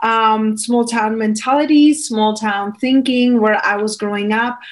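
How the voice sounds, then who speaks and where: A woman's voice: a little high, steady tone, a little slow. A woman in her thirties with a Czech accent, a coach talking openly as a guest on a video-call interview. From Czech Republic. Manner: earnest, open, gestures with hands. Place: small home room indoors. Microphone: studio mic on video call.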